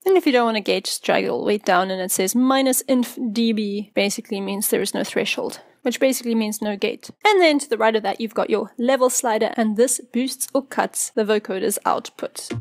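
A woman narrating an instructional software tutorial as its presenter, explaining things in a casual way into a close mic. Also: fairly high pitch, a little fast, few pauses, no room sound.

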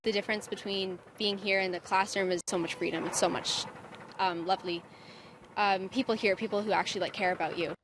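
A woman's voice: mid-range voice, fairly even intonation, brisk, background noise. A female student talking enthusiastically outdoors in an interview, with fillers. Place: outdoors at a farm. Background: clear outdoor ambience.

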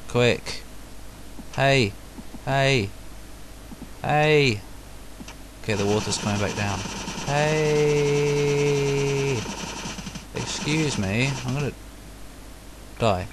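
A man's voice: mid-range voice, very slow, pauses often, background noise. A man giving casual, chatty commentary while playing a video game, sarcastic and talking to himself. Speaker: let's play host. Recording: loud game soundtrack over voice. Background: loud game music.

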